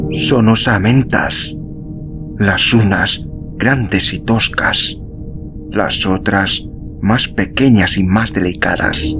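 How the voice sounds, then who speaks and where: A man reading a literary story as an audiobook narrator, in a measured, solemn, dramatic style with deliberate pauses. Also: medium pitch. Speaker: audiobook narrator and podcast host. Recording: music behind the voice. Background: background music.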